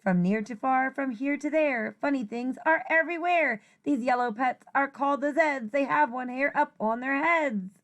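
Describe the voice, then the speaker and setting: High-pitched woman reading a children's book. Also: moderate pace, hardly any pauses. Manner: expressive sing-song rhyming delivery with playful asides. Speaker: teacher reading aloud. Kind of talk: children's picture book read-aloud.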